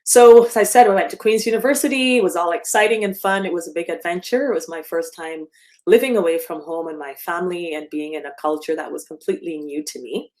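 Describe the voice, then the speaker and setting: A woman's voice: fairly low pitch, quick pace, darkish tone. A woman in her fifties from Barbados, giving a remote slide presentation with frequent ums and self-corrections. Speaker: guest speaker. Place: speaking remotely from home or office.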